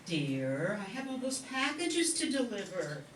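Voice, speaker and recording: A woman playing a practical, gently fretful family mother in an old-time radio comedy. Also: medium pitch, big pitch swings, a little slow. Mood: worried. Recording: roomy, distant mic, faint noise.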